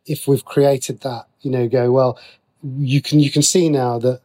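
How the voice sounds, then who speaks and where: A man's voice: medium pitch, little pitch movement, unhurried, dark tone. A man answering interview questions as a writer, talking casually and breaking into his own sentences as he explains. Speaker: guest, TV and radio writer.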